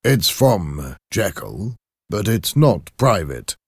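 A man voicing a grave, concerned lawyer in a storybook, with a measured, probing manner. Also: deep voice, big pitch swings, slightly rough, no echo, clean studio sound, heavily acted. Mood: serious. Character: grave, concerned male lawyer, questioning and suspicious. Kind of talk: audiobook character acting.

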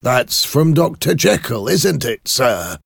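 A man's voice: mid-range voice, sing-song, takes it slowly, creaky, no echo, clean studio sound, pitched above the performer's own voice, full character voice. A man voicing a deferential, observant head clerk in a storybook, polite and emphatic. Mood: polite, curious. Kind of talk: audiobook character acting.

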